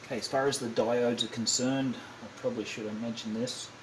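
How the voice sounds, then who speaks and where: A man giving a casual, unscripted hands-on demonstration, halting and thinking aloud as he goes, often correcting himself. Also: mid-range voice, little pitch movement. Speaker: hobbyist demonstrating a kit build. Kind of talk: casual unscripted how-to narration. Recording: clearly noisy amateur home recording.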